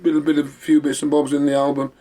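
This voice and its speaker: A man's voice: on the high side, completely flat delivery, fast, warm tone. A man hosting a memorabilia show, chatting casually in a rambling, repetitive way. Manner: rambling, repeating himself. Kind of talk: casual show-and-tell chat.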